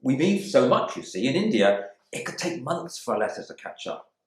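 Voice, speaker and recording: A man's voice: medium pitch, very animated, moderate pace, close to an everyday voice. A man performing a dramatic monologue as a proud, resentful airman in prison, in clipped, brooding phrases. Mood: ashamed, reflective. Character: embittered English RAF airman imprisoned in India, proud and resentful. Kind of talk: dramatic monologue reading from a novel. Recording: echoey room.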